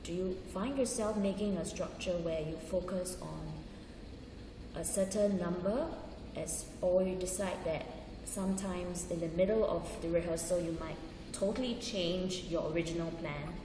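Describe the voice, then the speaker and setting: Low-voiced female interviewer, off-mic, echoing room, clear noise behind. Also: normal speed. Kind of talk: asking questions in an interview. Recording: distant, roomy, noisy hall.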